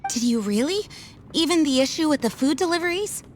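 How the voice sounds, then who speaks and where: A woman's voice: high voice, animated, a little fast, slight background noise. An actress playing an earnest, dutiful young female knight commander in a video game, polite and modest. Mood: modest, grateful.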